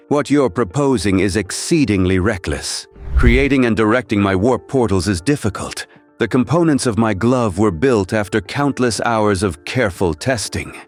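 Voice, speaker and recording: A man's voice: fairly low pitch, expressive, moderate pace, soft background music, natural voice. A man voicing a refined, arrogant doctor villain who speaks formally and precisely, as in a cartoon. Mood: haughty.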